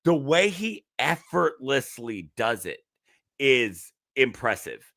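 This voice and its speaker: A man's voice: mid-range voice, sing-song, slow pace, gravelly, a bit bright, no echo, clean studio sound. A man co-hosting a horror movie podcast, chatting casually and explaining his points with lots of restarts. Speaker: podcast co-host.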